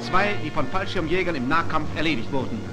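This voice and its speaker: Male newsreel narrator, 1940s archival sound: high-set voice, formal scripted delivery, heavy musical score underneath. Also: lively intonation, brisk. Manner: formal, declarative announcer delivery. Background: loud music.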